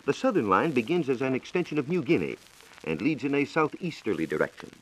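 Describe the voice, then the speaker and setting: A man's voice: medium pitch, very animated, fast talker, low-quality recording. A man with an American accent giving a formal, explanatory scripted science talk on 1940s radio. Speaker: science reporter.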